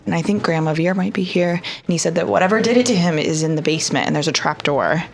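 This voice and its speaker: A woman's voice: on the low side, fast, creaky. A woman playing a tabletop role-playing game, casually describing what her character says and does. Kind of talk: casual in-game chat and narration.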